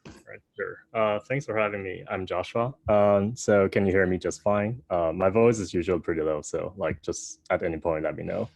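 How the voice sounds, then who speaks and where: Young man from Taiwan, very deep voice, dry sound, halting talk full of fillers, video call. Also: gravelly, dry recording. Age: young adult. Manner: soft-spoken, many fillers and restarts. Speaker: guest speaker. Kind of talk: online academic talk.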